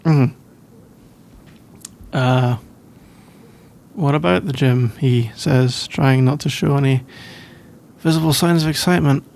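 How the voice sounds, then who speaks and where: Casting: male actor as drowsy young British student boyfriend, easygoing, halting, gravelly, unhurried delivery for intimate ASMR roleplay. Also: medium pitch. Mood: flustered, amused. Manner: hesitant, halting, rambling with soft laughs.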